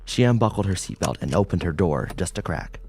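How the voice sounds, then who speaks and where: A man reading a scripted horror story as an audiobook narrator, in a measured, dramatic way. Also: sits low, very animated, a little mellow, breathy edge.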